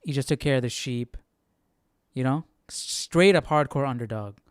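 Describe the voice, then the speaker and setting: A man's voice: mid-range voice, normal speed, clean tone, no echo, clean studio sound. A man hosting a Bible study stream, musing aloud conversationally and casually checking for agreement. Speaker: Bible study livestream host. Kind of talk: casual reaction and commentary.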